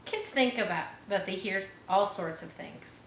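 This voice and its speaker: A woman's voice: mid-range voice, expressive, a little slow, roomy sound, slight background noise, lightly acted. A woman reading the part of an easygoing, amused farm father in a storybook, with a reassuring manner.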